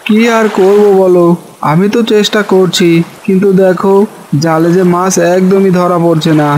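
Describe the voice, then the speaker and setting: A man voicing a poor, doubtful fisherman husband in an animated folk tale, plaintive and questioning. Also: high voice, moderate pace, slight background noise, natural voice.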